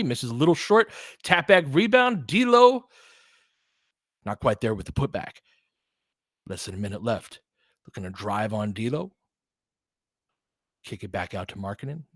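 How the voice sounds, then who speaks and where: A man calling basketball play-by-play with quick, excitable calls and chatty asides, on a close mic at home. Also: medium pitch, no echo. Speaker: play-by-play commentator. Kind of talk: fan-made basketball play-by-play commentary.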